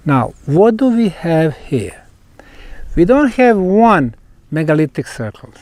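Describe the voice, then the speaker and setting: A man giving a guided talk to a group at a site, speaking in deliberate, emphatic phrases broken by pauses. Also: on the high side, big pitch swings, darkish tone, dry recording. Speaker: researcher and tour guide. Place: outdoors at a megalithic stone site.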